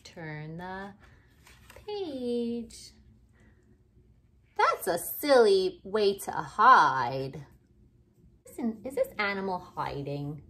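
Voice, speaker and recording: A woman's voice: medium pitch, very animated, extremely slow, long pauses, fairly bright tone. A woman doing an interactive picture-book read-aloud for young children, speaking in simple, exaggerated phrases with many questions. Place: living room at home, indoors.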